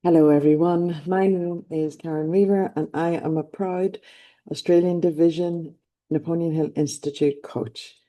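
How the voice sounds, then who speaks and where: A woman's voice: sits low, steady tone, moderate pace, mellow. A middle-aged woman with an Irish accent, giving an enthusiastic motivational talk as a personal development coach. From Ireland. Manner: enthusiastic, upbeat.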